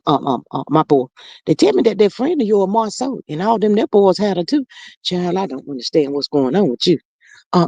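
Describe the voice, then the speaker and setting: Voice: medium pitch, creaky, darkish tone, slightly rough, no echo, exaggerated voice. Someone putting on a fretful old mama. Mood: fretful scolding. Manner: stammering fillers, calls everyone honey and child. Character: older woman, a fretful, chatty mother. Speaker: livestream character reading viewer comments. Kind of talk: improvised comedic character livestream.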